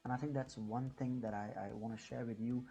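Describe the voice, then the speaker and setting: Mellow, halting Indian man vlogging. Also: medium pitch, fairly even intonation, vocal fry. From New Delhi, India. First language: Hindi. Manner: hesitant, halting, often repeats words. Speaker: YouTube vlogger. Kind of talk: casual unscripted chat to viewers.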